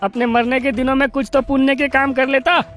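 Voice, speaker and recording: A woman's voice: high voice, little pitch movement, fairly quick, soft background music, natural voice. A woman playing an indignant villager in a cartoon story, scolding in a reproachful, emphatic way. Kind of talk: Hindi cartoon story voice acting.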